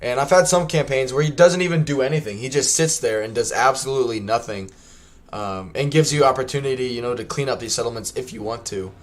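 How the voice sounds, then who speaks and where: A man's voice: mid-range voice, fast. A young man streaming a strategy game, chatting loosely with many ums and restarts, his voice scratchy from a long day. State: scratchy tired voice. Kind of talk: casual gameplay livestream chat.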